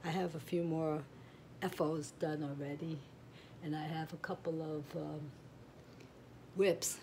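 A middle-aged woman with a notably low, nasal voice that sounds a bit stuffy. She rambles casually and warmly through a home tutorial, trailing off with fillers. The camera mic sits back in a room with a faint background hiss. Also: level tone, a little slow. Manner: chatty, meandering, trails off with fillers. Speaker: crochet vlogger.

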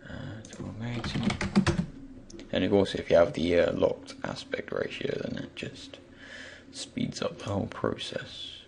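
A man with a croaky, just-woken voice gives an unscripted software tutorial, hesitantly thinking aloud as he goes. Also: low-pitched, unhurried. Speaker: tutorial presenter. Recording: faint background noise, keyboard and mouse clicks.

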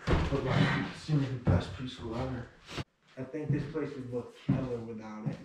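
A man's voice: medium pitch, fairly even intonation, a little fast, echoey room, very noisy. A young man chatting casually and swearing freely while filming a barn cleanup. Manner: laid-back, swears often, laughs a lot. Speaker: vlogger. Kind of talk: casual chat. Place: large wooden barn, indoors.

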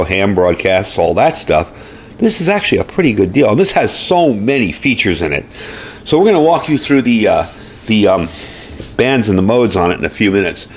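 A man giving a casual, folksy product review and demonstration, explaining things informally as he goes. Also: mid-range voice. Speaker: host, gear reviewer. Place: indoors at a desk or workbench.

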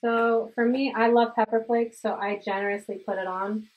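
A woman's voice: fairly high pitch, steady tone, moderate pace, narrow, tinny audio, slight background noise. A young woman talking casually to her viewers as she shows how she cooks in her home kitchen, chatty and enthusiastic. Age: young adult. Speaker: cooking vlogger. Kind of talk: casual cooking demonstration. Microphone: camera on a stand, close for talking and a bit further back at the stove.

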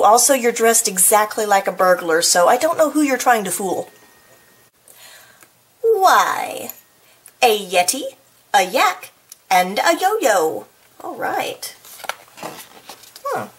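Husky woman reading a picture book expressively. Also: on the high side. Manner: expressive, playful, casual commenting. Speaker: storybook reader. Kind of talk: read-aloud of a picture book with chatty asides.

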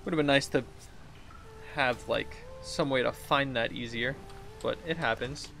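A man's voice: medium pitch, measured pace, creaky, pushed and bright. A man in his thirties giving casual, playful gameplay commentary and getting easily exasperated. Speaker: gaming streamer. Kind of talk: casual gameplay commentary with exaggerated read-outs. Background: game music.

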